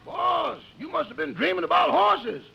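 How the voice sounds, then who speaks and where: An actor playing an excitable, cheeky household servant with a gravelly voice in a 1950s radio comedy. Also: male voice, very high voice, moderate pace, muffled recording, exaggerated voice. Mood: wry. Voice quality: gravelly, raspy. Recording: noisy vintage 1950s radio recording.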